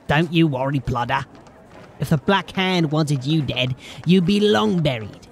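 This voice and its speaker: An actor playing a cocky, threatening street-gang henchman in a fantasy audio drama, with a rough, slangy, taunting way of talking. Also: on the high side, lively intonation, a little slow, slight background noise.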